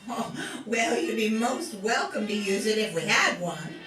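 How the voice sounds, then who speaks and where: A woman's voice: mid-range voice, sing-song, a little slow. A woman playing a hospitable, chatty country wife in an old-time radio drama. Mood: welcoming, homely. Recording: roomy, distant mic, faint music behind.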